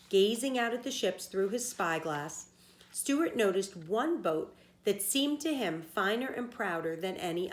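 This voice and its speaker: A woman teacher reading a children's story aloud, pausing to explain words plainly for young listeners. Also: medium pitch. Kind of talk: storybook read-aloud with simple asides.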